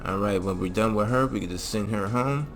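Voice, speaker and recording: Casting: male gaming channel host, low voice, slangy and laid-back walkthrough commentary, music underneath. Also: brisk. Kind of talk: casual video game mod tutorial commentary. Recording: music behind the voice.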